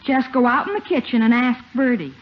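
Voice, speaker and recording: A woman's voice: a little high, animated, brisk, lightly acted. A woman playing a teasing young niece in a 1950s radio sitcom. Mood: wry, teasing. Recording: muffled 1950 radio recording.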